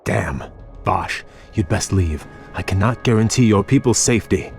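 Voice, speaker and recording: An actor playing a proud, troubled young elf prince in a fantasy game, speaking in a grave, formal way. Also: male voice, on the low side, animated, moderate pace, creaky. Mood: tense. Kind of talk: dramatic fantasy game voice acting. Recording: background music under the voice.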